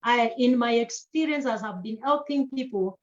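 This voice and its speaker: Earnest Kenyan woman with a higher voice. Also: moderate pace, highs cut off. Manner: earnest, repetitive, with many restarts. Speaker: guest speaker. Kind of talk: panel talk answering questions.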